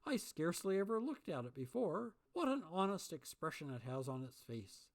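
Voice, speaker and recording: A reader voicing a remorseful old miser who turns giddy, pleading and exclaiming at a lifted pitch. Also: very animated, normal speed. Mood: jolly. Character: old miser, repentant and overjoyed.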